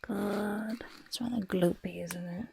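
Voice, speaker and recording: A woman voicing a cheerful, mischievous goblin potion-maker in a soft, husky ASMR half-whisper, fussy and chattering. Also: medium pitch, takes it slowly, a little hiss or hum. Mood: soothing, instructive. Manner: fussy, chattering, repeats words. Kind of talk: soft-spoken ASMR roleplay.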